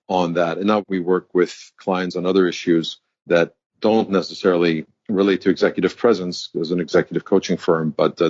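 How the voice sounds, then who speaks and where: A man's voice: low voice, darkish tone, no echo. A man in his fifties from Germany, speaking, who tells his story as a guest executive coach in a reflective, articulate way. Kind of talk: interview conversation. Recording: dull, dry sound.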